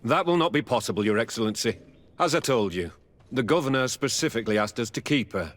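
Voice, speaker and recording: An actor playing a stern, honourable army captain in a video game, speaking in a curt, formal, deliberate way. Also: male voice, medium pitch, lively intonation, fairly bright tone, close to an everyday voice. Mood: dismissive. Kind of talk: video game dialogue acting.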